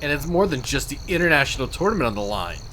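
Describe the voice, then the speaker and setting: A man's voice: medium pitch, sing-song, fast talker, bright, forceful tone. A man playing a cocky, threatening rival fighter in a visual novel, with a taunting manner. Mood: cocky threat. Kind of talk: scripted visual novel voice acting. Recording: faint background noise.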